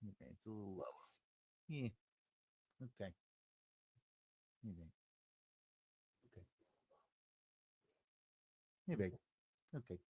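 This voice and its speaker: A man's voice: on the low side, lively intonation, takes it slowly, long pauses, dark tone, husky, dry recording. Chatty man with a big voice. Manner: loose and chatty, many fillers and restarts, adjusting his mic live. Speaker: writing class host. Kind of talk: casual livestream chat. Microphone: desk microphone.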